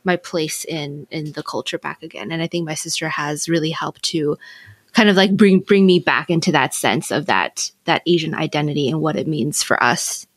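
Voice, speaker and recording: A woman's voice: low-pitched, moderate pace, no echo. American woman in her thirties, dry sound. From California, United States. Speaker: podcast guest. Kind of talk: casual chat.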